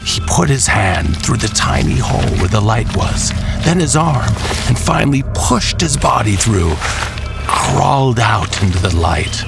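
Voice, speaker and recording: A man narrating a scripted horror tale in a dramatic, measured way with pauses. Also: lowish voice, animated. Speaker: storyteller. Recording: music behind the voice. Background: clear background music.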